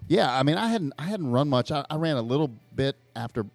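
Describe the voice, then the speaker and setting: A man's voice: on the high side, animated, quick pace, creaky, no echo. A man co-hosting a podcast, talking casually and loosely with some swearing, music behind him. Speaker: podcast co-host. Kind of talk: casual chat.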